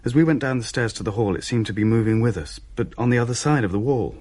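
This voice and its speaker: An actor playing a rattled British man, recounting events in clipped, halting, conversational phrases in a radio play. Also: mid-range voice, darkish tone, close to an everyday voice. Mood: tense recounting. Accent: British English. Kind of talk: conversational radio drama narration.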